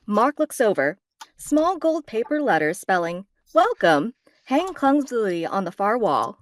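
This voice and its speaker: Animated woman narrator, dry close sound. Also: medium pitch, a little slow, dry recording. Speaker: narrator reading stage directions. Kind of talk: scripted narration at a table read.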